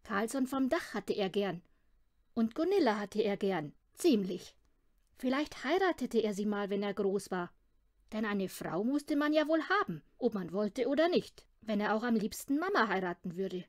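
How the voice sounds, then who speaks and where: A woman's voice: medium pitch, sing-song, moderate pace, no room sound, studio quality. A woman narrating a children's audiobook from a script. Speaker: audiobook reader.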